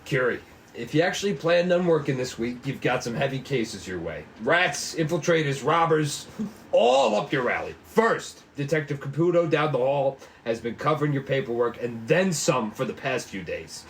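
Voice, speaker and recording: A man's voice: mid-range voice, sing-song, moderate pace, slight background noise. A man voicing a stern, exasperated police boss in a noir cop drama, brusque and weary. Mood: stern, businesslike. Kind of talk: 1940s noir cop drama table read.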